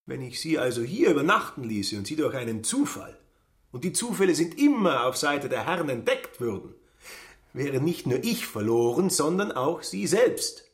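An actor playing a cautious village innkeeper in a radio drama, speaking confidentially and deliberately. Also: male voice, fairly high pitch, very animated. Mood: confiding warning.

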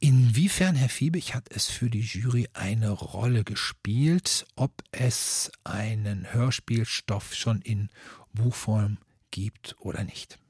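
A man's voice: lowish voice, takes it slowly, a little mellow, husky, no echo, studio quality. A man hosting a discussion with formal, scripted moderation and questions to guests. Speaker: host and moderator.

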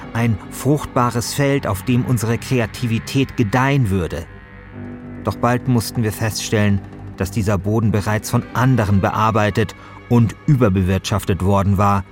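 A man narrating a scripted podcast as its host. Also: lowish voice. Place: radio studio.

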